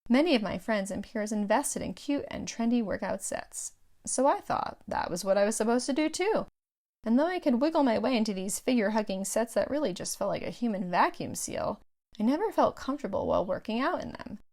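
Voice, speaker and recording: A middle-aged woman with a slightly high voice reads scripted audiobook narration as the author. Her delivery is measured, and each phrase is set out clearly. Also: lively intonation, darkish tone.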